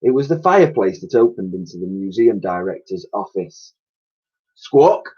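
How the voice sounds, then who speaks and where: Male storybook reader, teacherly and expressive, husky mid-range voice, slightly muffled lo-fi sound. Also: sits low, fairly quick. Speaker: primary school teacher reading aloud. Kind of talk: expressive children's storybook narration.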